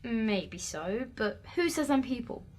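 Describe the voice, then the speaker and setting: A woman's voice: fairly high pitch, big pitch swings, takes it slowly, a little mellow. An actress playing a feisty, stubborn young woman in an audio drama, challenging and sarcastic. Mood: sardonic, probing.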